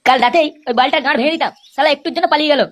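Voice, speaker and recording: A performer voicing a foul-mouthed, hot-headed, excitable cartoon troublemaker, crude and blustering. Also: high-pitched, racing, lightly acted. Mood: furious boasting. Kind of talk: comic cartoon dialogue. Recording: clearly noisy cartoon soundtrack.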